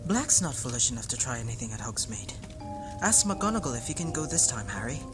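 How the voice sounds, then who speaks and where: A blunt teenage boy, voiced by a woman in an audio drama, low in register and very lively in pitch. He is grumpy and easily indignant, with music clearly behind him. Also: fairly quick. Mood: blunt, urging. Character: Ron, grumpy, blunt teenage schoolboy with a pet rat. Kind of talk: fan audio drama acting.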